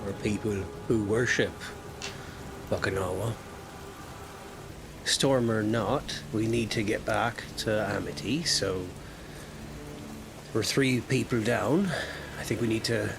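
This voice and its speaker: A man's voice: on the low side, slow, long pauses. A man speaking in character as a player in a tabletop roleplaying game. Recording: clear rain noise behind voice.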